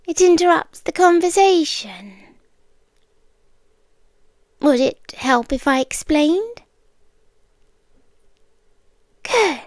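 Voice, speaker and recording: A woman acts out an eerily calm, possessive yandere girlfriend with a British accent and a high voice. She speaks slowly and deliberately, often sinking into a whisper. It is an intimate ASMR roleplay, very close and dry on the microphone. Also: no room sound, heavily acted. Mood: soft, coaxing. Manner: measured, deliberate phrasing, often dropping into whispers.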